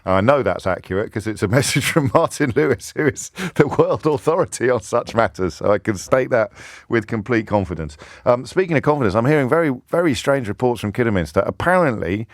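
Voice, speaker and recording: A man's voice: medium pitch, no echo. A man hosting a radio phone-in, talking in a chatty, wry and fluent way from a studio microphone. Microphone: studio microphone, close and dry.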